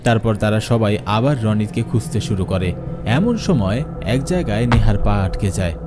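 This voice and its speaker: A man's voice: on the low side, expressive, brisk. A man narrating a horror cartoon story in a dramatic, suspenseful storytelling manner. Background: loud music.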